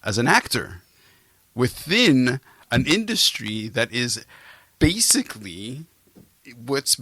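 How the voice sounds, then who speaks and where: A man's voice: medium pitch, sing-song, takes it slowly, sharp tone, breathy edge, no room sound. A middle-aged man hosting a podcast, interviewing in a casual, informal and playful conversational way.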